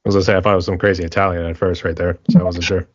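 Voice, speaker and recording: A man's voice: low voice, little pitch movement, very fast, no room sound, lo-fi sound. An American man hosting a podcast, asking loose, rambling questions in a casual chat. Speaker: podcast host.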